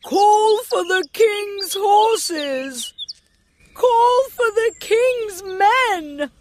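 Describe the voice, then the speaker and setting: A woman voicing a panicked egg character in a children's storybook cartoon, crying out in drawn-out calls. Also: very high voice, lively intonation, voice pushed up, heavily acted. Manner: shouting, drawn-out cries. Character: panicked high-voiced egg character calling for help.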